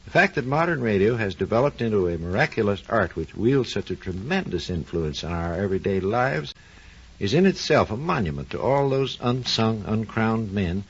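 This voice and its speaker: A man's voice: lowish voice, big pitch swings, moderate pace, husky. A man with an American accent giving a scripted 1940s educational radio talk as a research engineer, in a formal, explanatory manner. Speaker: research engineer presenting a science program. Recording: muffled, lo-fi 1940s radio recording.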